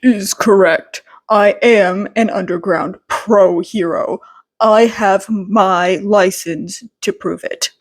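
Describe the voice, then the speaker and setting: A woman voicing a weary adult male hero with a dry, deadpan drawl in a storybook reading. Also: medium pitch, slow pace, no room sound, pitched below the performer's own voice. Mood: dry, stern.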